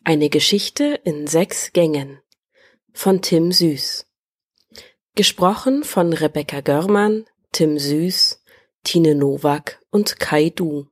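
A woman's voice: deep voice, lively intonation, takes it slowly, no echo, clean studio sound. A woman reading out a scripted title and credits announcement.

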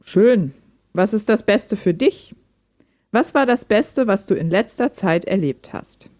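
A woman's voice: medium pitch, expressive, a little slow, warm tone, natural voice. A woman playing a lonely, reserved hobby programmer in an audio drama, speaking in a dry, direct way. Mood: teasing, earnest. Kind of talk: amateur audio drama dialogue, read chat.

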